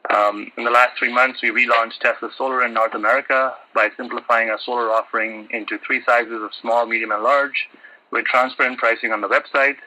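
A man's voice: medium pitch, fairly even intonation, a little fast, bright, forceful tone, poor audio. A man presenting an update on an earnings call as an energy operations executive.

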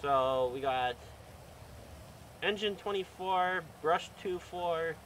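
A man's voice: high voice, slow pace, crowd noise, poor audio. A young man streaming a role-play game, chatting casually with friends over voice chat and swearing often. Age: young adult.

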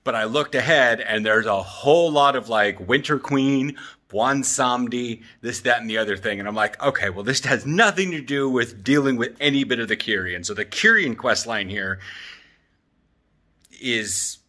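Male vlogger, thirties, bright forward voice, loose rambling chat with joking asides, handheld camera at home. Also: mid-range voice, normal speed. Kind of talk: casual chatty vlog. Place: apartment living room and open kitchen. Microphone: handheld camera at arm's length.

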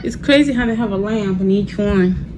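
A woman's voice: mid-range voice, moderate pace, background noise. A woman vlogger casually narrating as she walks through a vintage train car, chatting and observing. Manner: chatty, observational, laughs often.

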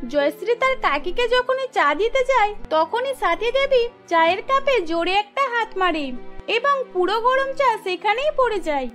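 A woman's voice: very high-pitched, fairly bright tone. A woman narrator reading a scripted cartoon story. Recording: music behind the voice.

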